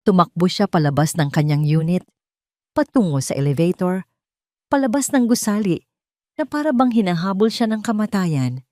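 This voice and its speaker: Low-voiced woman narrating romantic drama. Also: big pitch swings, normal speed, darkish tone, no echo, clean studio sound. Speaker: story narrator.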